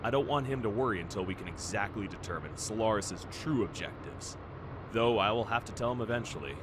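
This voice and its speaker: Man playing a deep, grave ship's first officer. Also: expressive, noisy background, natural voice. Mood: troubled, confiding. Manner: measured, formal, serious. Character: grave, loyal male first officer and adviser. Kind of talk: fan radio-play acting. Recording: music behind the voice.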